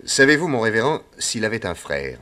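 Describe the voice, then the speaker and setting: A shrewd, wry radio-drama detective with a deep, low voice. He questions people firmly and chuckles dryly between points. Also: sing-song, a little fast, sharp tone. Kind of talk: old French radio drama acting.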